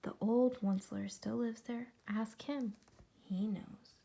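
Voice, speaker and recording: A woman reading a story aloud to young children, expressive, with dramatic pauses, questions to the listeners and a breathy voice that often drops toward a whisper. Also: a little high. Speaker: preschool teacher reading a picture book.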